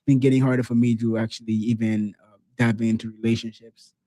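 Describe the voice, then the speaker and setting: Relaxed, mellow-voiced male podcast host. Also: medium pitch, brisk, dry recording. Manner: relaxed, slangy, says "bro" often. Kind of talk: casual podcast chat.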